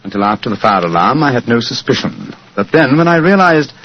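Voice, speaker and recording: An actor playing an assured, courteous gentleman detective with a precise manner, in 1940s radio drama style. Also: mid-range voice, sing-song, brisk. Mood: reading, measured. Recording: dull vintage radio recording.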